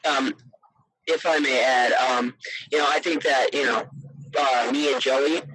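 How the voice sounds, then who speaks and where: Teen male athlete guest, husky unpolished voice, earnest, halting casual interview answers. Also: medium pitch, steady tone, sharp tone, dry recording, poor audio. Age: teenager. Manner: hesitant, earnest, peppered with "you know".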